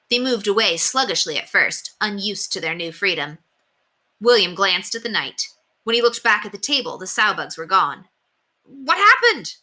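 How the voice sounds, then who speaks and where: A woman's voice: mid-range voice, pushed and bright. A woman narrating a children's story expressively, as a teacher reading aloud.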